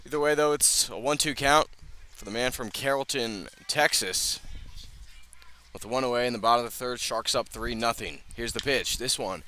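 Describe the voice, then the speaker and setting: A man's voice: medium pitch, normal speed, pushed and bright, slight background noise. A man calling a baseball game live on the radio as the play-by-play announcer. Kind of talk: live sports commentary. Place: outdoor ballpark broadcast position.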